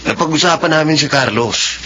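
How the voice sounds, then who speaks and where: A suspicious, gossipy office worker in a Filipino radio drama. His voice is forceful and somewhat high, with faint noise behind it. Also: fairly quick.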